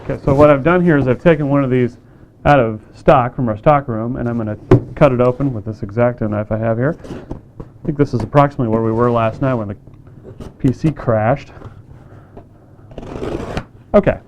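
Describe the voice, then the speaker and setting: A man casually demonstrating a radio kit, plain-spoken and relaxed, with frequent fillers. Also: mid-range voice, moderate pace, long pauses, highs cut off, slight background noise. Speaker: company staff demonstrating a kit.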